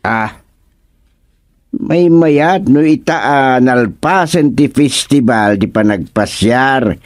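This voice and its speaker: Slow-talking, exclamatory Ilocano village man. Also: medium pitch, expressive, no echo, studio quality. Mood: chatty, explaining. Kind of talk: Ilocano radio comedy drama acting.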